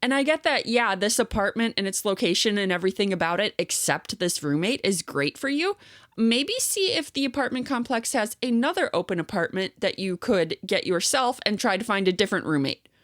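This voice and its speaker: A woman co-hosting a podcast, chatting casually and reading online stories aloud in a steady storytelling way. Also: mid-range voice, brisk, no echo, clean studio sound. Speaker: podcast co-host.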